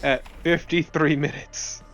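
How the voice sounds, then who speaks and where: A man's voice: fairly high pitch, steady tone, a little slow, fairly bright tone. Wry man bantering over a game. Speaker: let's play co-commentator. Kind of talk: casual gaming banter. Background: game music and sounds.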